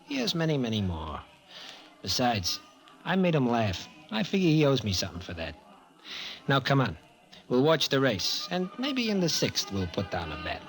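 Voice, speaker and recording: An actor playing a slippery, charming young racetrack tout with slangy, evasive talk in an old-time radio drama. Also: male voice, medium pitch, huge pitch swings, moderate pace, faint music behind. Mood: cocky. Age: young adult.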